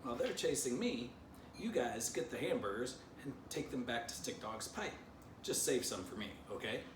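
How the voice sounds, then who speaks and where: A man's voice: medium pitch, animated, moderate pace, voice pushed up, lightly acted. A man voicing a naive, hopeful storybook dog who explains himself in an earnest way. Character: naive, hopeful male dog proposing plans. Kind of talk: children's storybook character voice. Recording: distant mic, roomy, noisy.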